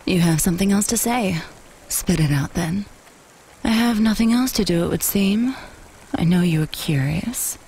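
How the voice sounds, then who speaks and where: Low-voiced, commanding dominatrix. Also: female voice, moderate pace, dark tone, husky, low-quality recording. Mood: brisk, proud. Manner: formal, clipped, commanding. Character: brisk, self-assured female dominatrix, proud and impatient. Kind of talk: video game voice acting.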